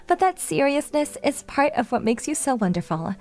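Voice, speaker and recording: A woman's voice: high voice, a little mellow. A woman voicing a sweet, polite young female idol with an earnest, coaxing way of talking, in a manga dub. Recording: music under the voice.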